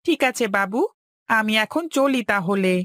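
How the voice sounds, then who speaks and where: A woman's voice: medium pitch, animated, a little slow, fairly bright tone, no room sound, clean studio sound. A woman voicing a loyal, deferential servant and messenger in a cartoon, speaking assuringly. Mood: dutiful, assuring. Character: loyal servant-messenger watching over the master's daughters. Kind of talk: Bengali cartoon voice acting. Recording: music under the voice.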